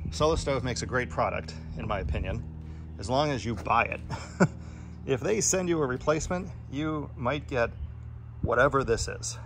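A man giving a casual, plain-spoken product review, pointing out defects while filming with a handheld phone on an outdoor deck. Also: mid-range voice. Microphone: phone camera in hand.

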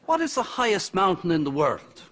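A man playing a patient, polite health assessor in a TV sitcom, asking questions in a measured, formal way. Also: mid-range voice, sing-song, lightly acted. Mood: patient, official. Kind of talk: TV sitcom acting. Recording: loud studio audience noise.

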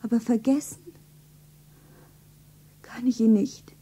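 A young woman's high, very soft and breathy voice. She is a naive, lovelorn shopgirl who tells her own story with plaintive earnestness. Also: steady tone, slow pace, full character voice. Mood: wistful, wounded. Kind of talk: stylised radio drama, self-narrating.

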